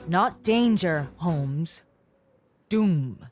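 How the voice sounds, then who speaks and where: A woman's voice: fairly low pitch, expressive, extremely slow, a little mellow, faint music behind. A woman playing a shrewd, scolding adventuress in an audio drama, sardonic, knowing and commanding. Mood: grave.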